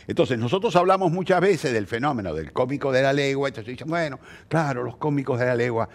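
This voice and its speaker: A man's voice: medium pitch, lively intonation, fast. An older man with a Rioplatense Argentine accent, explaining things conversationally in an interview, emphatic and didactic, posing rhetorical questions. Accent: Argentine Rioplatense Spanish. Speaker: playwright interviewee.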